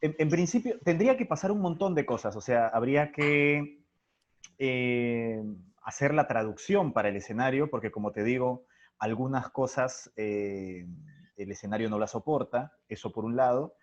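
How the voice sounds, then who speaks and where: A man's voice: mid-range voice, normal speed, muffled recording. A man, a theatre director explaining things casually in an interview.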